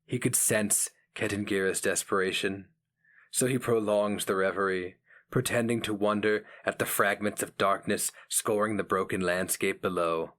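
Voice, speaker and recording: A man giving a solemn, theatrical and deliberate dramatic reading of a fantasy story. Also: fairly low pitch, steady tone, fairly bright tone. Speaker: narrator.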